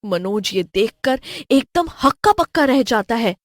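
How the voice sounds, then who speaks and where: A woman's voice: on the high side, animated, unhurried, no room sound. A woman giving scripted narration of a cartoon horror story.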